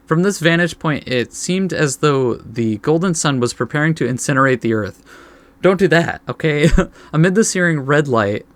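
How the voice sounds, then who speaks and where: A man's voice: fairly high pitch, a bit bright, no room sound. Dry-sounding man reading a game aloud casually. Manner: informal, stumbles over the text and corrects himself. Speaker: let's play host. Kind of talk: casual visual novel read-aloud with commentary.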